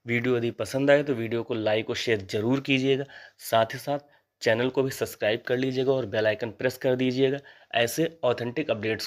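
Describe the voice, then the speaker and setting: A man with a medium-pitched voice speaks as the host of an informal update channel. He explains matters to his viewers in an earnest, advisory way. He often restates his points to stress them.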